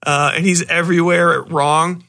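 Bright, high-voiced man podcasting casually. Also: moderate pace, creaky. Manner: animated, swears freely, excitable. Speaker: podcast host. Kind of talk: casual podcast review, rambling and profane.

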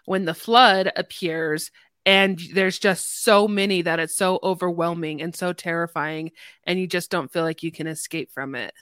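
A woman's voice: on the low side, fairly even intonation, moderate pace, a bit bright, clean tone, no room sound, clean studio sound. A woman chatting casually as a panelist in a podcast discussion.

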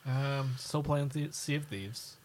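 A man's voice: medium pitch, takes it slowly. A man co-hosting a podcast, chatting casually and analytically. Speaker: podcast co-host. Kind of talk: casual discussion.

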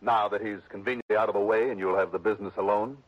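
An actor playing a wry, probing investigator who also narrates, in a 1960s radio drama. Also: male voice, on the low side, fairly even intonation, fast, no echo, lightly acted. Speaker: lead and narrator. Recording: dull lo-fi old radio broadcast.

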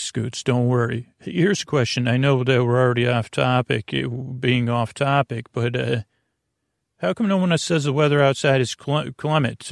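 Male sleep-podcast host: creaky, gravelly delivery, dry close sound, lulling and goofy, wandering off on halting tangents. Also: medium pitch, no echo. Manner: meandering, halting, full of tangents. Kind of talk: rambling bedtime sleep-podcast monologue.

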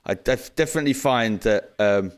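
A man co-hosting a casual podcast, talking things through thoughtfully and pausing to search for words. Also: mid-range voice, expressive, clean tone. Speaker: podcast co-host. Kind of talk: casual chat.

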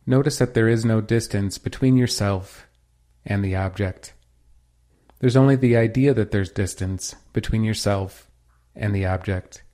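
Male meditation guide, lowish voice, measured and soothing instructional read. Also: dark tone. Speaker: meditation teacher.

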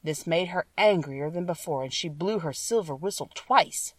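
Female storybook narrator, slightly low voice, very dry room, sitting a little back from the mic. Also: normal speed, no echo, recorded from a distance. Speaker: audiobook narrator. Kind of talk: scripted children's story narration.

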